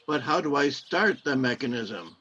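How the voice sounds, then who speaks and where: A man's voice: medium pitch, lively intonation, normal speed, pushed and bright, dull audio, a little hiss or hum. Man acting a terse, guarded saboteur. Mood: guarded, uneasy. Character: nervous, guarded male saboteur posing as cinema owner. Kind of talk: radio play acting.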